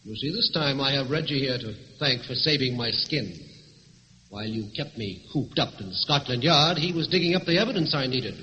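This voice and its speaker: An actor playing a suave, unflappable gentleman thief in an old radio thriller, cool, urbane and dryly witty. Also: medium pitch, lively intonation, moderate pace. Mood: confident. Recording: dull, hissy old radio recording with hall reverb.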